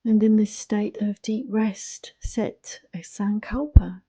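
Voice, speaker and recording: A woman guiding a yoga nidra relaxation in a soothing, hushed, unhurried manner, close to the microphone. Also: medium pitch, steady tone, slightly rough, dry recording. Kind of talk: guided relaxation meditation.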